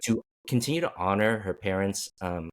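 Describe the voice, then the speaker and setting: A man's voice: deep voice, moderate pace. A man hosting a casual interview, rambling with many restarts and fillers. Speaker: podcast host.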